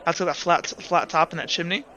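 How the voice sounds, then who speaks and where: Bright-toned guy running a tabletop game, lots of uh and like, kinda muffled mic. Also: fairly high pitch, steady tone, some chatter behind. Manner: rambling, halting with many fillers. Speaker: game master. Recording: dull lo-fi mic.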